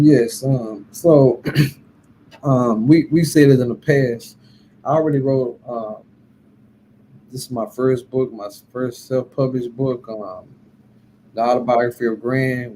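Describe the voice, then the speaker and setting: Guy giving a humble informal talk at a religious gathering, kinda halting, some background noise. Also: medium pitch, dark tone, low-quality recording. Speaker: guest speaker and self-published author. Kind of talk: informal public religious address and chat.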